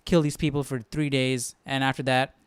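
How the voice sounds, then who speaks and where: A man's voice: medium pitch, level tone, moderate pace, smooth, no room sound. A man hosting a Bible study livestream, talking casually and thinking aloud in questions. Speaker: host of a live Bible study.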